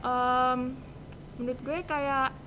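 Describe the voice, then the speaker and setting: A woman's voice: high-pitched, level tone, takes it slowly, noisy background. A young woman in her teens with a Jakarta accent explains her views informally as a guest on a student podcast. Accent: Jakarta Indonesian. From Indonesia. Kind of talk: casual podcast conversation.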